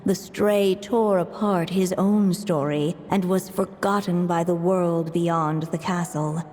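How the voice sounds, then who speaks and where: A woman playing a solemn fairy-tale narrator in a video game, telling the story in a measured way. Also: fairly low pitch, darkish tone. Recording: long reverb, music behind.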